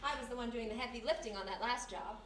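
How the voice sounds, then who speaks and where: High-pitched, sassy detective's gal sidekick acted by a woman. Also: fast talker, lightly acted. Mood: playful, sassy. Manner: sassy, animated, scolding banter. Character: quick-witted, sassy young female sidekick to a detective. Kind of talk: 1940s radio detective acting. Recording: echoey live room, distant mic, noisy.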